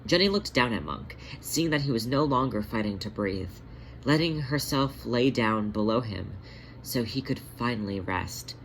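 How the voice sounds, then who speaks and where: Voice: mid-range voice, animated, normal speed, fairly bright tone, a little hiss or hum, low-quality recording. A narrator reading a story aloud in scripted, audiobook-style narration.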